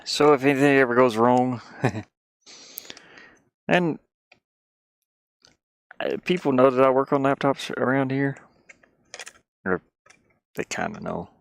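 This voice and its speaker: A man's voice: medium pitch, expressive, takes it slowly, gravelly, pauses often, no room sound. A man streaming casually, rambling and thinking aloud as he goes. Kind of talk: casual live stream chat. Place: inside a van he lives in.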